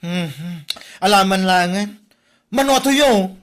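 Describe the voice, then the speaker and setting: A woman's voice: mid-range voice, expressive, takes it slowly. A woman acting an exasperated village storekeeper, grudging and complaining, in a comedy drama. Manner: reluctant, complaining.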